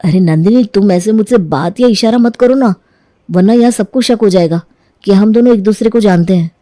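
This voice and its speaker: A woman's voice: medium pitch, moderate pace, mellow, dry recording, clean studio sound, lightly acted. A woman voicing a nervous, hesitant young man in love in a cartoon story. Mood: uneasy. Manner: hesitant, anxious. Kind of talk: Hindi cartoon moral story dialogue.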